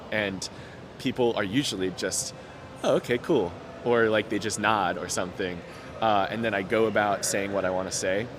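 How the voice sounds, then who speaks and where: Young man, low-ish voice, stutters, earnest and open; outdoor take with audible traffic noise. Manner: stutters, with repeated sounds and broken-off words; earnest, open, many fillers. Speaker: interviewee. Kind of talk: reflective personal story. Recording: noticeable outdoor background noise.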